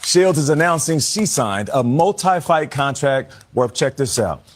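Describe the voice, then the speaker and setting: A man's voice: a little high, animated, a little slow. A man presenting and interviewing as a morning television news host in an upbeat broadcast style.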